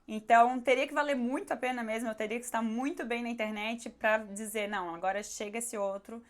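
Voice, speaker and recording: A hoarse woman with a Brazilian accent, talking candidly and conversationally as a YouTuber answering viewer questions. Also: fairly high pitch, fairly even intonation, brisk. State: hoarse, recovering her voice. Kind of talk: casual question-and-answer vlog.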